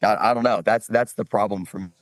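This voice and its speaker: A man's voice: lowish voice, fairly even intonation, brisk, no room sound. A man in a casual podcast discussion, musing hesitantly and often posing rhetorical questions.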